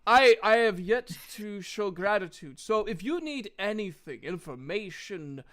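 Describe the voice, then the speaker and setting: A man voicing an earnest, devoted bard husband who speaks haltingly and sincerely in a tabletop game. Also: high voice, animated, fairly bright tone. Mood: earnest, grateful.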